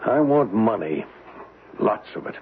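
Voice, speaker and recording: An actor playing a desperate, hard-bargaining blackmailer in a radio mystery, curt and pressing. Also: male voice, on the low side, sing-song, a little slow. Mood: pressing, impatient. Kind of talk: radio mystery drama acting. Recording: muffled old radio broadcast, faint noise.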